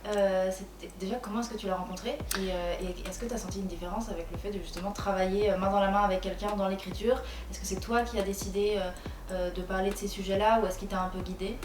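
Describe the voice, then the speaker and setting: A woman's voice: medium pitch, fairly even intonation, fast talker. A woman interviewer asking casual questions in an informal, friendly way. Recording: echoey, distant mic with crowd noise. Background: crowd chatter.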